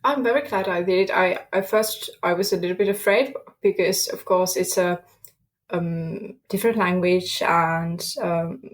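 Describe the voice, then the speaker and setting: Young finnish woman, non-native english, lots of ums, halting but earnest. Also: fairly low pitch, fairly even intonation. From Finland. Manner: halting, searching for words with fillers and laughs. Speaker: guest student. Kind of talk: podcast interview.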